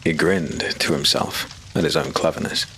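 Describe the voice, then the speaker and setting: A man narrating a dark fantasy audio drama in a measured, storytelling way. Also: very deep voice, fairly even intonation, a little hiss or hum, low-quality recording.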